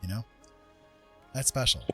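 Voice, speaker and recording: A man's voice: a little slow, pushed and bright, faint music behind. A middle-aged man chatting casually as a livestream host, earnest, hesitant and reflective, thinking as he talks. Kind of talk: casual personal chat.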